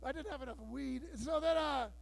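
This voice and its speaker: High-voiced man doing bawdy stand-up. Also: fairly quick, gravelly. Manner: loud, bawdy storytelling with acted-out dialogue. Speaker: stand-up comedian. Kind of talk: stand-up comedy set. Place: live show room with audience.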